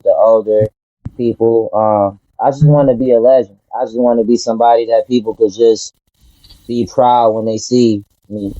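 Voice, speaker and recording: A man's voice: fairly low pitch, mellow, no room sound, highs cut off. A man from Detroit, a rapper, answering interview questions in a laid-back, earnest way. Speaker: rapper guest.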